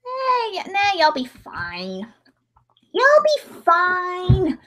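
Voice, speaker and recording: A woman's voice: very high voice, huge pitch swings, extremely slow, pushed and bright. A woman chatting casually as host of a livestream, in a talkative, informal way full of exclamations.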